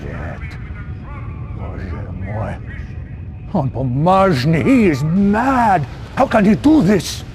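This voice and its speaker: An actor playing a fearful Russian defector in a video game cutscene, with a Russian accent and dramatic, halting, emphatic delivery. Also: male voice, a little high, sing-song, a little mellow, full character voice. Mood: horrified, agitated.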